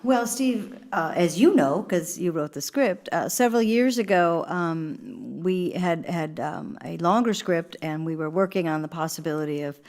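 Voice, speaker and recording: A woman's voice: fairly low pitch, moderate pace, hardly any pauses, a little mellow. A woman with an American accent chatting emphatically as an actress in a casual on-stage interview.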